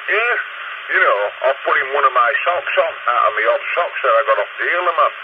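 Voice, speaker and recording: A man's voice: mid-range voice, lively intonation, fairly quick. A man with a Northern English accent chatting casually and bluntly over a CB radio, swearing freely and giving his opinions. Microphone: CB radio handset. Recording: tinny CB radio, heavy noise.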